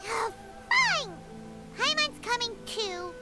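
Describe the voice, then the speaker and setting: A woman voicing a tiny, excitable, fussy childlike fairy companion in a video game, squeaky and exclamatory. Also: takes it slowly, full character voice. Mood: indignant. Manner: childish, exclamatory, speaks of self in third person. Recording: loud music under the voice.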